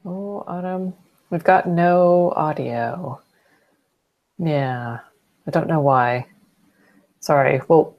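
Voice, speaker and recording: A woman in her forties informally hosting a live group video call. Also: lowish voice. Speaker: host of an online panel. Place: small indoor room with bookshelves, on a video call. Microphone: webcam video call.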